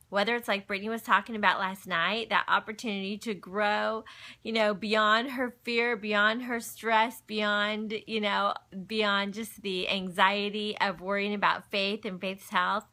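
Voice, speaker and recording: A woman's voice: medium pitch, pushed and bright. A middle-aged woman hosting a livestream from home, telling personal stories and talking about faith in a chatty, folksy way, often addressing viewers. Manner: chatty, folksy, breaks off to greet and answer viewers. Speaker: livestream host. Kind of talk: casual chat, personal storytelling and faith talk. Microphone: phone.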